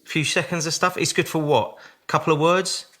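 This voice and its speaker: An actor in a radio drama playing a sceptical, blunt audio technician with a dismissive, informal manner. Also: male voice, a little high, fairly even intonation, fairly quick, a bit bright, lightly acted. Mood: sceptical, scoffing.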